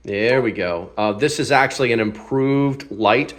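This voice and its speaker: A man's voice: mid-range voice, a little slow, fairly bright tone. Casual man giving a hands-on gear review. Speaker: host of a gear review channel.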